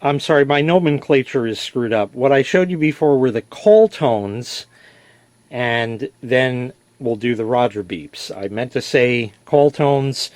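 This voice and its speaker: A man's voice: medium pitch, a little slow, darkish tone, no room sound. Guy doing a laid-back product demo, explains stuff plainly, fixes his own slips, really dry close sound. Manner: plain-spoken, explanatory, self-correcting. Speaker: product reviewer. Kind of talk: casual product demonstration. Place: indoors in a basement.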